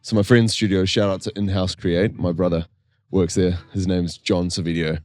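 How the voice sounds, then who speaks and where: A young man, chatting casually as a guest musician on a podcast, laid-back and quick to laugh. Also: deep voice, little pitch movement, darkish tone, no echo, clean studio sound. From New Zealand.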